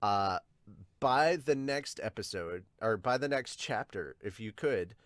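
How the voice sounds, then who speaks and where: A man's voice: lowish voice, expressive, measured pace, fairly bright tone, no echo, clean studio sound. A man hosting a casual reaction podcast, explaining things emphatically and swearing casually. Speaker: podcast host. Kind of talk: casual chat, manga reaction.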